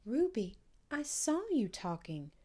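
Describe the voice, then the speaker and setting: A woman voicing a caring, thoughtful schoolteacher in a storybook, in a soft, measured, wondering manner. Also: fairly high pitch, very animated, voice pushed up, exaggerated voice. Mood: gently questioning. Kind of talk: children's storybook read-aloud.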